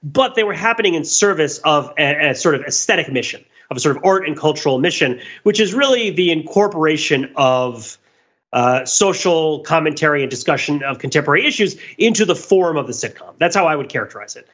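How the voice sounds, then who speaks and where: A man's voice: on the high side, lively intonation, fairly quick, fairly bright tone, slightly rough, highs cut off. A man co-hosting a podcast, talking in a casual, analytical, discursive way and often checking in with a tag question. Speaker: podcast co-host.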